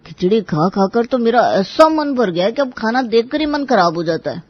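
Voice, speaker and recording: A man's voice: extremely high pitch, very animated, moderate pace, no echo, highs cut off, heavily acted. A man voicing a meek, miserable young husband and younger brother in a Hindi cartoon, deferential and plaintive. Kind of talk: dramatic Hindi cartoon dialogue.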